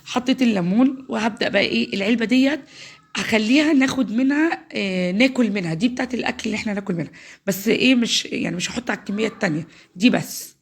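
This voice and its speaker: A woman's voice: on the high side, racing, breathy edge. An Egyptian woman with a cold, chatting through a home cooking tutorial step by step. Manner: chatty, talks viewers through each step. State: voice changed by a cold. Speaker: home cook. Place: home kitchen.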